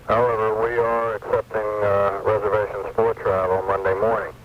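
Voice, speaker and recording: A man's voice: on the low side, little pitch movement, moderate pace. A man giving a prepared statement as a company spokesman, somewhat hesitant. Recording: very noisy, distant, muffled AM radio recording.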